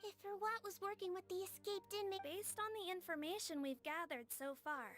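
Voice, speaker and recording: A woman voicing a small, excitable, earnest childlike companion in a video game, with a squeaky voice. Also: moderate pace, music in the background. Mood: earnest, thoughtful. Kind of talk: scripted video game dialogue.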